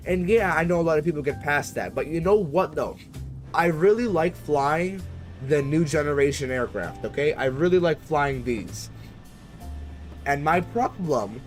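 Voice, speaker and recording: A man chatting casually as he live-streams a flight simulator, in a rambling, slangy, conversational way. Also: on the high side. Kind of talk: casual live-stream chat while gaming.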